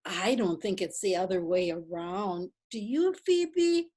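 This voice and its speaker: Casting: woman reading a prim, curious lady, unhurried delivery. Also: medium pitch, animated, a little mellow. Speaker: reader in a play reading. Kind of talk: amateur readers' theatre acting.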